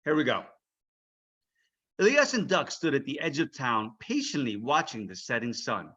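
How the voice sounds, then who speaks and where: A man reading a children's storybook aloud as its author, expressive and checking in with his listeners. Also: mid-range voice, normal speed, husky, muffled recording.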